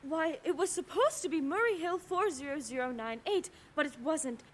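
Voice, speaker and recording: A very high female voice from an actress plays a fretful, domineering wife in a suspense radio play. She is melodramatic and insistent, with nerves always near the surface. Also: lively intonation, normal speed. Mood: horrified. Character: nervous, imperious bedridden wife, alone and increasingly alarmed.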